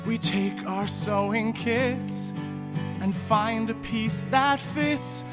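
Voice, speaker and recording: Earnest forgiving young man, very slow sung ballad, high voice, loud music behind. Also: full character voice. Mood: heartfelt. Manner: sings a slow, heartfelt ballad. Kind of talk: musical audio drama, singing. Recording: song over loud music.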